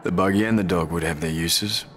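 An actor playing a grim, terse lone wasteland warrior in a video game, speaking in curt, unsentimental phrases. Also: male voice, deep voice, a little fast, fairly bright tone, a little hiss or hum. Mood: grim, businesslike. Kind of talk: understated video game character acting.

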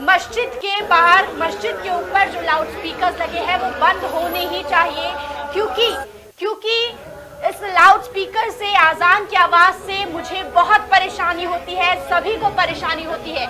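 Female news anchor, very high voice, urgent emphatic breaking news delivery over music and crowd noise. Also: steady tone, unhurried. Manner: emphatic, repeating phrases for emphasis. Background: loud music and crowd sounds.

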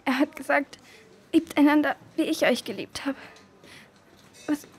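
Young girl, high voice, hesitant, sad, questioning, children's audio drama. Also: fairly even intonation, a little slow. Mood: grieving.

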